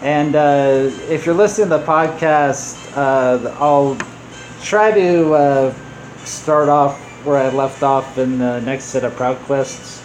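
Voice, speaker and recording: A man's voice: mid-range voice, slow, darkish tone, slight background noise, low-quality recording. A man giving casual, off-the-cuff gameplay commentary as host of a gaming video, offhand and a little apologetic. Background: game music.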